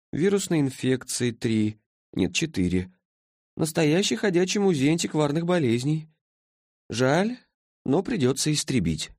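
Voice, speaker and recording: Man voicing a languid high-pitched woman from the future. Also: a little mellow, no room sound, studio quality. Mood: drowsy, clinical. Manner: listless drawl, clinical, detached. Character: languid, sleepy-voiced female being from a far future.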